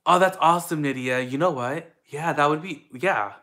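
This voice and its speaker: Upbeat young guy from the philippines, bright clear voice, rambling q&a at home. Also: on the high side, normal speed. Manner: chatty, upbeat, lots of fillers. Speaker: vlogger answering viewer questions. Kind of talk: casual Q&A chat.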